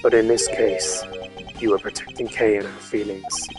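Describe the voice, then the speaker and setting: A seasoned male police detective from a video game, voiced by a man, speaks in a gruff, fatherly way. He is blunt and weary, over faint music. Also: on the low side, little pitch movement, moderate pace, lightly acted. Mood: grave encouragement. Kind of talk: video game character voicing.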